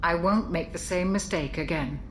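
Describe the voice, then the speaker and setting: A woman playing a seasoned, caring military doctor in a video game, with a mature, measured voice and a wry, reflective way of talking. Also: fairly low pitch, slight background noise, close to an everyday voice. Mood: pleased, warm. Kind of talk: video game cutscene acting.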